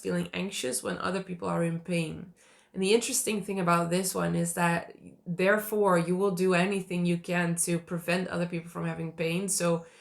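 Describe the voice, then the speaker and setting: A woman with a cold and a hoarse voice, teaching in earnest to camera and reassuring viewers by repeating key points. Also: on the low side. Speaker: attachment style coach.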